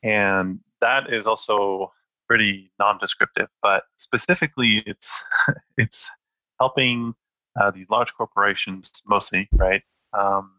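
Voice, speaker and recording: Low-voiced man, relaxed interview answers. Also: a little slow, no echo, rough recording. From born in France, raised in Spain. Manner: thoughtful, many fillers and restarts. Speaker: podcast guest, data analyst. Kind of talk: casual interview talk.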